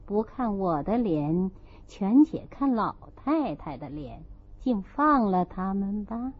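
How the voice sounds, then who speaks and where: A woman's voice: medium pitch, big pitch swings, takes it slowly, voice pushed down. A woman narrator voicing a resentful older noblewoman who pleads with pointed mock humility in a storybook reading. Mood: mock-polite resentment. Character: resentful older noblewoman, feigning politeness, needling. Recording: dull, lo-fi audio.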